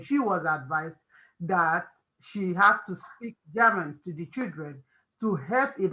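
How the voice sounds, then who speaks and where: A woman's voice: lowish voice, sharp tone, poor audio. A woman with a Nigerian accent hosting an informal faith-based advice talk, emphatic and repeating phrases for stress. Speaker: host of a family discussion.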